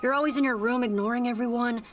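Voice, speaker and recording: A woman's voice: a little high, steady tone, far from the mic, soft background music, natural voice. A woman voicing a resentful, accusing teenage mirror girl in a cartoon. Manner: accusing, rapid.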